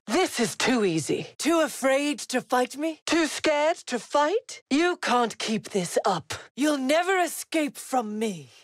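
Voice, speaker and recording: A woman playing a mocking, vengeful villain in a video game, delivering deliberate, sneering combat taunts. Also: on the high side, dry recording, studio quality, full character voice. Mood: scornful. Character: mocking, vengeful female villain hunting a young Jedi.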